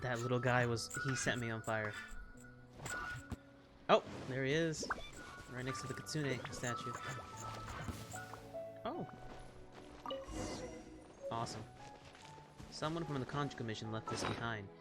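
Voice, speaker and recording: A man reacts excitedly while he plays a video game. His talk is loose, slangy and casual, with middling pitch, and clear game music runs behind his voice. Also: a little slow, long pauses. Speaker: game streamer playing and reacting. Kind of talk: casual gaming commentary.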